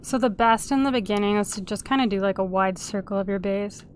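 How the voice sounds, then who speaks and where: A woman's voice: mid-range voice, fairly quick, slight background noise. Sniffly woman chatting through a gameplay video. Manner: chatty, hums and sings little tunes while playing. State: sniffly from a cold. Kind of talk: casual let's-play commentary.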